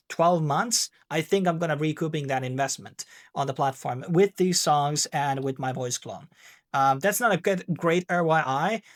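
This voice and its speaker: A man with a Finnish accent, speaking English as a second language in a rambling, casual tutorial with many fillers and restarts. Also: a little high. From Finland. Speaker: tech video host.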